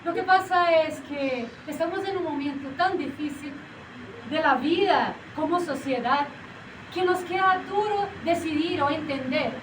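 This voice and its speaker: An actress speaking straight to a theater audience in a chatty, playfully confused manner. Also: female voice, sits high, a little slow. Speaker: stage actor. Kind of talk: theatrical direct address to the audience. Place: small theater with a live audience. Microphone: far camera. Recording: echoey room, far camera, audience noise.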